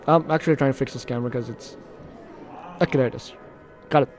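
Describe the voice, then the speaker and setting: A man's voice: mid-range voice, steady tone, quick pace, darkish tone, soft background music. A man doing let's play commentary, reading game narration aloud with jokey asides and stumbles over words. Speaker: gaming video host.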